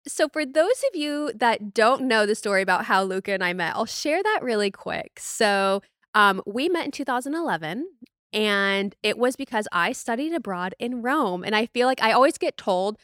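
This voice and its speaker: A young American woman hosting a podcast, chatting and telling personal stories in an informal, animated way. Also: on the high side, moderate pace, no room sound, clean studio sound. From United States. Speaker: podcast host. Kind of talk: casual chat and personal storytelling.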